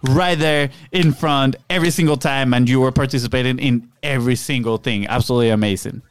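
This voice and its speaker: A man's voice: medium pitch, brisk, clean tone, no room sound. A man co-hosting a podcast, delivering the intro and guest welcome in a punchy, announcer-like hype style. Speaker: podcast co-host.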